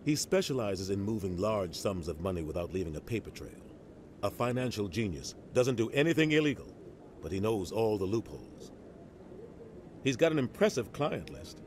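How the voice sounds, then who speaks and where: An actor voicing a seasoned, pragmatic military officer in a video game, explaining with measured authority. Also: male voice, fairly low pitch, big pitch swings, darkish tone, slight background noise. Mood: matter-of-fact. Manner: matter-of-fact, authoritative explaining.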